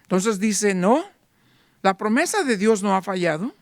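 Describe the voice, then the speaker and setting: High-voiced man preaching a sermon. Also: animated, a little slow, dry recording, clean studio sound. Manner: rhetorical questions, voicing doubting listeners. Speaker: pastor.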